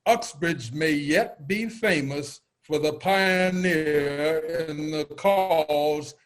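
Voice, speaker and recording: Guy doing a formal historian in a stage reading, lightish pitch, slow and deliberate. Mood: solemn, measured. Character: male town historian recounting a colonial vote. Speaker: reader in a reader's theater.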